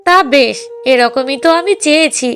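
A woman's voice: sits high, normal speed. A woman playing a middle-aged village mother in a cartoon drama, coaxing in a fretful, affectionate way. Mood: agreeable, inquisitive.

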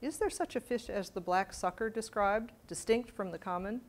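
An older woman giving a public lecture, reading letters aloud in a measured, precise way and adding informal asides. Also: mid-range voice. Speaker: guest lecturer. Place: medium-sized library meeting room with seated audience. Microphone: lapel mic.

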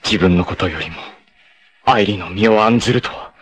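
An actor playing a proud, passionate, fiercely protective martial-arts warrior in an anime, with a dramatic, terse, masculine delivery. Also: male voice, lowish voice, moderate pace, close to an everyday voice. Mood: anguished. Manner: dramatic, terse, masculine, swinging from hushed to shouting.

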